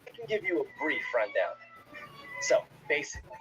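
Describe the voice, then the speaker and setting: A courteous male game master in a video game. He is theatrical and very expressive, with a polite storyteller's manner. Music is clearly heard behind him. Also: a little high, moderate pace, muffled recording. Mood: explanatory.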